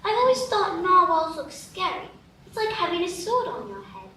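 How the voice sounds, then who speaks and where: Woman as curious young girl, very high, bright, roomy echo, distant mic. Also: big pitch swings, normal speed, a little hiss or hum, heavily acted. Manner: wondering, apologetic, chatty. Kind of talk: live radio play acting.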